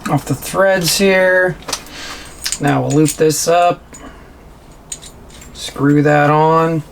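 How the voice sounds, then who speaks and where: Slow-talking DIY host, noisy recording. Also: mid-range voice, steady tone. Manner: informal, talking to self while working. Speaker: DIY boating vlog host. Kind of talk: casual hands-on how-to narration. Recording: clearly noisy on-site recording, clicks.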